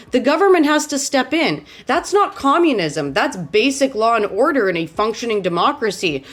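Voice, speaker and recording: A woman from Romania giving blunt, exasperated political commentary to camera, often tagging her points with "okay?". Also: a little high.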